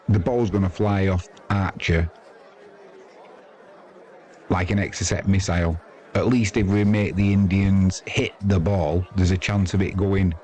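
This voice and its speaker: A man's voice: lowish voice, mellow. A man giving casual, blokey live cricket commentary, conversational and opinionated as he chats with viewers.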